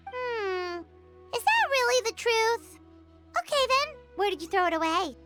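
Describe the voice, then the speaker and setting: An actress voicing a small, childlike fairy companion in a video game, perky, inquisitive and bossy. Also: female voice, extremely high pitch, very animated, unhurried, over loud music, heavily acted. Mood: doubtful. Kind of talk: video game character acting.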